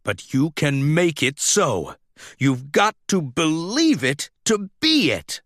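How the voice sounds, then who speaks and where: A solemn toy tribe leader from a children's storybook, performed by a man. He instructs and encourages earnestly in a lively, raised voice. The recording is dry. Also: fairly high pitch, slow pace, no echo, exaggerated voice. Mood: encouraging.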